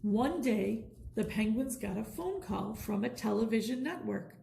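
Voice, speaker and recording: Slow, expressive woman reading a storybook. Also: a little high, vocal fry, a little hiss or hum. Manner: deliberate, expressive. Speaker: school principal reading a bedtime story. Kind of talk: expressive children's storybook narration.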